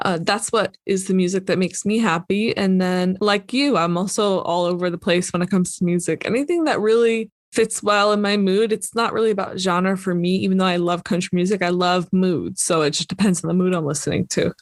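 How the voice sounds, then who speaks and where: A woman's voice: fairly low pitch, brisk, hardly any pauses, dry recording, studio quality. A woman co-hosting a podcast in casual chat, laughing often and teasing herself. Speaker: podcast co-host.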